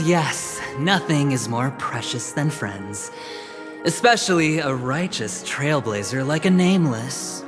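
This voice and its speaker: A man voicing a smooth, scheming young businessman and gambler in a video game, talking in a sly, playful, teasing way. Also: medium pitch. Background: game music.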